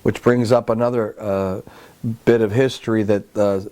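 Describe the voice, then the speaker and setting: A male interviewer with a low, mellow voice introduces his guest and asks questions in a measured, friendly way, with a few small restarts. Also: fairly even intonation. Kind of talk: oral history interview. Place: guest's home.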